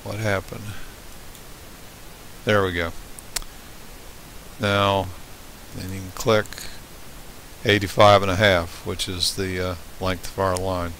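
A man giving an unscripted step-by-step software tutorial in a plain, halting way, pausing mid-phrase. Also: sits low, poor audio. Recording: hissy home voice-over recording. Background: steady hiss.

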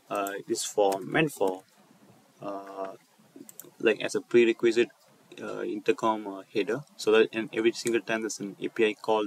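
A man presenting an unscripted coding walkthrough over a screen recording, speaking haltingly and often repeating and rephrasing his phrases. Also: lowish voice. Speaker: tutorial presenter.

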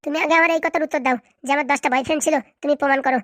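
A woman's voice: high-pitched, steady tone, very fast, no echo, studio quality, natural voice. A woman playing an aggrieved, stubborn young wife, argumentative and emphatic, in a comic cartoon. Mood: angry, accusing. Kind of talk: comic cartoon drama dialogue.

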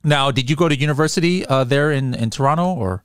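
A man's voice: medium pitch, fast, clear, resonant tone, no echo. A man hosting a podcast, chatting casually and joking with his guests from a studio mic. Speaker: podcast host. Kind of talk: casual interview chat. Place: home studio with headset and boom mic. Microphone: studio microphone on boom arm, headphones.

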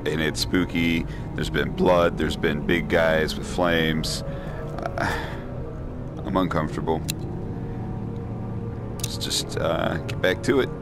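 A deep-voiced young man commentating as he plays a horror game, talking himself through the scares with jittery nervous remarks. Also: little pitch movement, takes it slowly, vocal fry, pauses often, music in the background. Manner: jittery, talks himself through scares, yelps high when startled. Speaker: let's play gamer.

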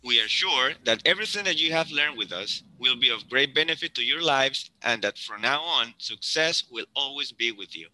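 Very bright-voiced man announcing. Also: mid-range voice, animated, moderate pace, few pauses, poor audio. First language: Spanish. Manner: formal, announcing. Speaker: ceremony co-host. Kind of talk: formal ceremony address.

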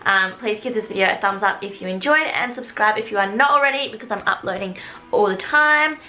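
A woman's voice: mid-range voice, fairly quick, bright, forceful tone, rough recording. A woman in her twenties with an Australian accent, chatting casually as a vlogger to a handheld camera at arm's length, upbeat and rambling. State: out of breath after a workout. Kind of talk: casual vlog chat. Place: home kitchen and bedroom, also a gym.

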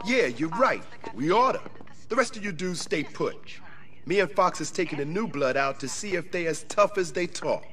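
An actor playing a tough, commanding young gang war chief in a video game, with blunt street talk. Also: male voice, fairly high pitch, animated, moderate pace, a bit bright, soft background music. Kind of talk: video game cutscene acting.

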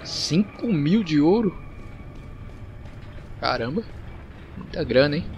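A man's voice: a little high, animated, slow, muffled recording. A man with a Brazilian accent giving casual video game commentary as he plays. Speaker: gaming YouTuber. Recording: noisy, game sound behind voice.

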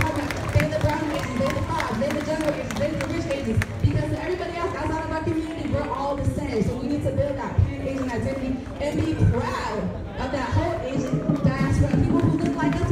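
A woman with a Californian accent giving an earnest, impassioned rally speech in informal slang through a PA outdoors, recorded from out in the audience. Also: high voice. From San Jose, California, United States. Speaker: community organizer and poet. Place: outdoors on the steps of a large civic building. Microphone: handheld mic through PA, recorded by distant audience camera. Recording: echoey outdoor PA heard from far off.

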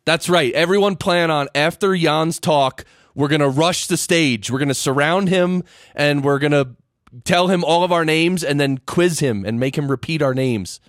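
A man's voice: on the high side, moderate pace, no room sound, clean studio sound. A man hosting a podcast interview, joking and enthusiastic in casual chat. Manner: jokey, enthusiastic. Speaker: podcast host.

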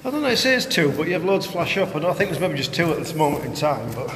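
A man with a slightly high voice chats casually to livestream viewers while showing them around. The phone recording has long reverb from a stairwell and faint background noise. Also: sing-song, fast talker. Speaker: paranormal investigator livestreaming. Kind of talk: casual livestream chat on a walking tour. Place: inside a lighthouse stairwell and museum. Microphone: phone at arm's length.

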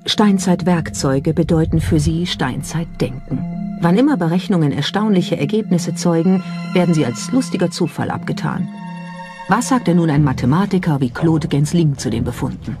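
Female documentary narrator, lower register, music clearly underneath. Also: expressive, normal speed. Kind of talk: scripted narration. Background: music behind the voice.

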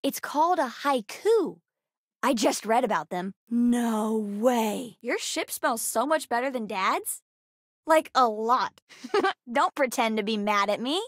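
A woman voicing a bright, curious, bookish young girl in a video game, speaking in an eager, earnest and cheeky way. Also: fairly high pitch, lively intonation, slow, dry recording, clean studio sound. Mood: playful, teasing. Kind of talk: video game character voice lines.

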